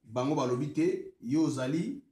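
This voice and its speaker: A man's voice: fairly high pitch, normal speed, warm tone, roomy sound. A man with a Congolese accent preaching a sermon as a prophet, hesitant with many fillers and restarts, turning emphatic when he explains scripture. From Congo (DRC).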